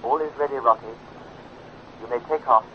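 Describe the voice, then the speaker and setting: A man's voice: mid-range voice, moderate pace, close to an everyday voice. Man playing a formal, clipped radio controller. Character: brisk official male radio controller. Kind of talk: 1950s radio serial acting. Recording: muffled, noisy 1950s radio recording.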